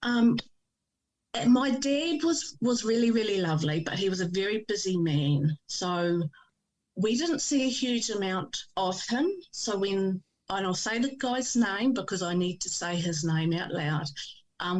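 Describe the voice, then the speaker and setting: A woman in her fifties with a New Zealand accent, speaking at a mid-range pitch. She talks in a measured, reflective way with frequent pauses and ums, like a guest sharing her personal history on a podcast. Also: a little mellow, rough recording. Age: 50s. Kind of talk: personal testimony interview.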